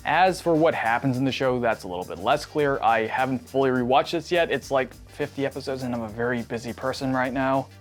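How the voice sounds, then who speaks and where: A man's voice: medium pitch, a little fast, hardly any pauses, soft background music. A man, a native Cantonese speaker, narrating a scripted video essay in a wry, self-deprecating way.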